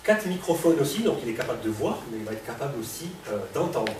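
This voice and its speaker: A man's voice: medium pitch, very animated, fast talker, darkish tone. A man with a cold presenting a robot live to an audience, speaking casually with lots of fillers and questions to the room. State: has a cold. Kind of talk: live technical demo to an audience. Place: hall with an audience. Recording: echoey room, distant mic, background noise.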